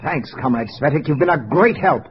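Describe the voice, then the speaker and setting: Actor as a high-pitched, suave secret police villain. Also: male voice, expressive, a little fast, lightly acted. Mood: brisk, commanding. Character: male secret police major, suave villain posing as fussy stargazer. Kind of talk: 1950s American radio drama acting. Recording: noisy, dull old radio recording.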